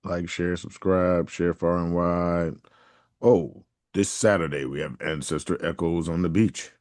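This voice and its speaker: A laid-back man, co-hosting a podcast. His voice sits very low and he keeps his answers short and easy. The sound is close and dry. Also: steady tone, measured pace, darkish tone, no echo. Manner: laid-back, brief replies. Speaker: podcast co-host. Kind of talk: casual podcast chat.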